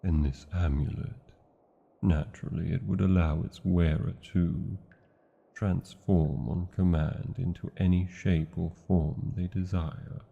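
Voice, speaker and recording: A British man playing an old, tender male dragon in a fantasy ASMR roleplay, speaking slowly and formally in a husky, gravelly voice. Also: steady tone, dull audio, full character voice. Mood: curious, hopeful. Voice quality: husky, gravelly, dark low voice. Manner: slow, deliberate, formal phrasing.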